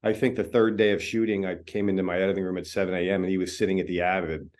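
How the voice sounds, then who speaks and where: Chatty man with a low voice. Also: very fast. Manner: relaxed, chatty, many fillers and restarts. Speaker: film editor. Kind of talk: interview conversation.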